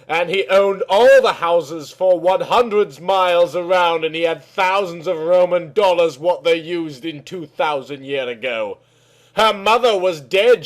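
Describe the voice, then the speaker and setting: A man narrating a storybook tale in a naive, childlike manner, with mangled words. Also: on the high side.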